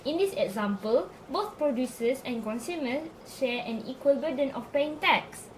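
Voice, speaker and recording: A woman as an eager, high-pitched schoolgirl. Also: lively intonation, normal speed, slight background noise, poor audio. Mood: helpful. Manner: keen, recites textbook answers. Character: eager, studious know-it-all schoolgirl. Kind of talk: scripted amateur puppet show acting.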